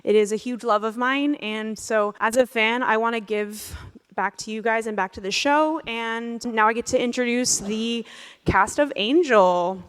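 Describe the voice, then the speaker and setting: A woman's voice: on the high side, measured pace, a bit bright, clean tone. A woman moderating a live fan convention panel, introducing the guests in an upbeat, announcer-like way.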